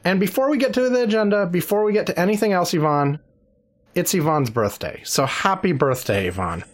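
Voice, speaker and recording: Podcast host man with a lively voice. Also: sits high, fairly quick. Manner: dry joking, frequent "yeah" and "anyway". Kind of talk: casual podcast chat.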